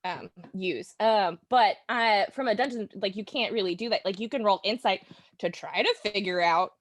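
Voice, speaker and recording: A woman speaking as a guest on a casual podcast, halting and feeling her way through thoughts with lots of "like". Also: medium pitch, slight background noise. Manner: halting, peppered with "like". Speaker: guest listener.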